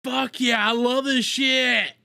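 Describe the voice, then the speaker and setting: A man giving casual live reaction commentary, excitable and quick to swear and exclaim. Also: very high-pitched, vocal fry. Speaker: host reacting to a fan-made music video. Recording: music playing behind the voice. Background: guitar music.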